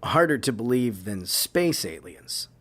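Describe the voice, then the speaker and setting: A man's voice: medium pitch, sing-song, measured pace, fairly bright tone. An actor playing an impatient, skeptical male senior agent in an audio drama, speaking bluntly.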